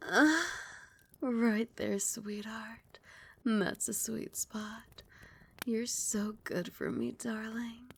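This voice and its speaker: Woman as a creaky, breathy doting vampire queen. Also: on the high side, darkish tone, slight background noise, full character voice. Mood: pleased, tender. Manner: slow, formal, coaxing pet names. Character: doting, commanding vampire queen, regal and indulgent mistress. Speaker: ASMR roleplay voice actor. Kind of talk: ASMR roleplay, intimate scripted acting.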